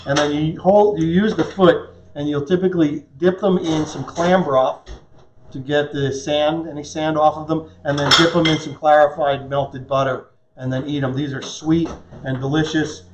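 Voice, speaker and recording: A man's voice: on the high side, steady tone, normal speed, background noise. A man in his fifties hosting a live cooking demonstration in a casual, conversational, joking way. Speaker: chef and cooking show host. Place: home kitchen, indoors. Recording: echoey kitchen, dull muffled sound.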